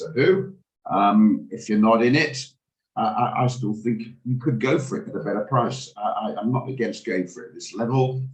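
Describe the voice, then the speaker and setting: A man, hoarse from a cold, hosting a live trading room and talking through charts with hesitant, stammering restarts. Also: lowish voice, rough recording. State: hoarse, recovering from flu. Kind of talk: live market commentary on a video call.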